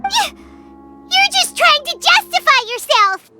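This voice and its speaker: A woman voicing a tiny high-voiced floating companion who is excitable and blunt, with game music loud behind her. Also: exaggerated voice. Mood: angry. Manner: shrill, excitable, quick to outrage.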